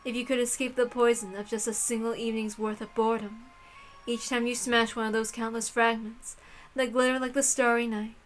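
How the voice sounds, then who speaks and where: A woman's voice: fairly high pitch, level tone, fairly quick, soft background music, natural voice, low-quality recording. A woman playing a haughty, amused, cruel witch lady in a visual novel read-aloud, with a grand, commanding, theatrical delivery. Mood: fond, knowing.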